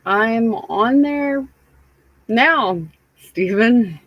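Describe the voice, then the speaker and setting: A woman live streamer chatting casually with her viewers, sounding stuffy and raspy, rambling with lots of fillers. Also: fairly high pitch, lively intonation, dry recording, lo-fi sound. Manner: slow, rambling, many fillers.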